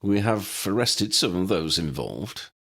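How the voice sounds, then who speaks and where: A man's voice: deep voice, lively intonation, a little slow, fairly bright tone, breathy edge, exaggerated voice. A man voicing an earnest, decisive male head of wizard police, direct, polite and slightly irritable, in an audiobook story.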